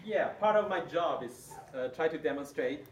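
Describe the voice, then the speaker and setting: A man with a Mandarin accent, a native Mandarin speaker, presenting a live demonstration to an audience in a hesitant, halting way. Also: a little high, lively intonation, background noise. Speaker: workshop presenter. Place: large conference room, distant camera without microphone. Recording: echoey room, far from the microphone.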